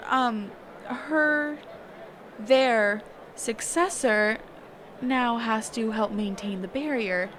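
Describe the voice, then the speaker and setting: A woman's voice: on the high side, very slow, fairly bright tone, slight background noise. A woman playing a kind, reclusive magic teacher in an intimate roleplay audio, speaking hesitantly, flustered and trailing off. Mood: flustered, explaining. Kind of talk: intimate character roleplay audio, one-sided conversation.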